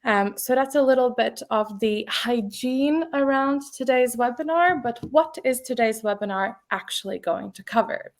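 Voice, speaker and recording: A woman presenting a webinar over a computer mic, her slightly high voice carrying a gravelly fry. She talks casually and warmly to her audience, leaning on fillers as she goes. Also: normal speed, hardly any pauses. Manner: casual, friendly, many fillers. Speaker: webinar host, content marketing specialist.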